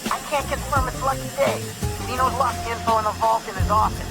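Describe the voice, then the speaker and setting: High-voiced apologetic cartoon fish informant. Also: male voice, steady tone, fairly quick, vocal fry. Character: apologetic male cartoon fish, underling informant with bad news. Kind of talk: video game cutscene acting. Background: music.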